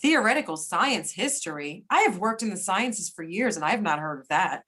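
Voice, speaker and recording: Woman playing a probing agent, mid-pitched voice. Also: lively intonation, moderate pace, fairly bright tone, breathy edge. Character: polite, probing female federal agent. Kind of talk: amateur readers theater reading.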